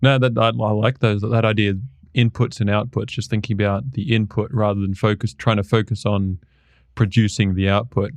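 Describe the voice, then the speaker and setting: A man's voice: lowish voice, little pitch movement, fairly quick, darkish tone, dry recording. A man hosting a podcast, asking questions in an easygoing way and chiming in with short affirmations. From Australia. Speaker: podcast host. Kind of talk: casual interview.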